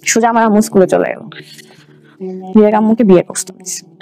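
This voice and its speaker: A woman's voice: medium pitch, fairly even intonation, fast, vocal fry, mellow, soft background music. A young adult woman with a Bangladeshi accent, a guest recounting her own life in a conversational way. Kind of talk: conversational personal storytelling.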